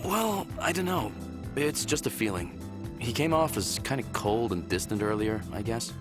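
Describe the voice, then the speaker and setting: An actor playing a young, smitten and easily flustered spaceship pilot in a visual novel game, expressive and a little awkward. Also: male voice, medium pitch, moderate pace, over music, natural voice. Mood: explaining.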